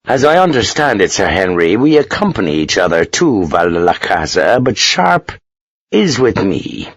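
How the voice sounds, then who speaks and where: A man's voice: fairly low pitch, very animated, a little slow, no room sound, heavily acted. Irish captain, voiced by a male narrator: amiable diplomat, persuasive and deferential, lilting Irish accent, audiobook style. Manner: smooth, deferential, reasonable. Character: calm, diplomatic, charming Irish army captain.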